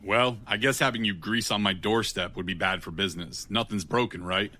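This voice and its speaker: A hard-boiled gun for hire acted by a man in a noir audio drama. He is blunt and wry, with a fairly low voice and a forceful, cutting tone. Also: brisk. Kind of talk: audio drama acting and first-person noir narration.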